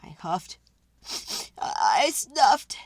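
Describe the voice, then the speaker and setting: A woman's voice: mid-range voice, wildly swooping pitch, drawn-out words, no room sound, studio quality, full character voice. A woman voicing a folksy, mock-sincere wolf who pleads his innocence, in a conversational children's read-aloud style. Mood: indignant. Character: folksy, innocent-acting wolf telling his side, self-justifying.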